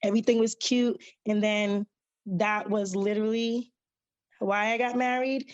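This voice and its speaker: A woman's voice: medium pitch, fairly even intonation, unhurried, muffled recording. A woman on a podcast panel reflecting at length on her past, rambling with fillers. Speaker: panel guest. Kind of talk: casual panel discussion.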